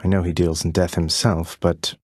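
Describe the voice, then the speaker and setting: A young rebel prince of the underworld speaks in a deep British voice. He is courteous but wry, delivering his game dialogue with casual understatement. The sound is dry, with no room around it. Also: level tone, moderate pace, darkish tone, no echo. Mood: dry, resolute. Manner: dry, understated, conversational politeness. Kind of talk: video game character dialogue.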